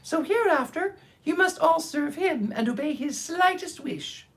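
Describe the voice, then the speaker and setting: Tiny squeaky mouse queen, regal and quick to take offence, very high and far-off in tone. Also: expressive. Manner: indignant and regal.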